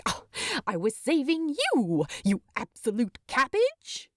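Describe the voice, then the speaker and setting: A woman's voice: fairly high pitch, very animated, slow, no echo, studio quality, pitched above the performer's own voice. A woman voicing a plucky, affectionate, slightly fussy male hobbit in a storybook narration. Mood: indignant, affectionate. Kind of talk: fan fiction audiobook character voice.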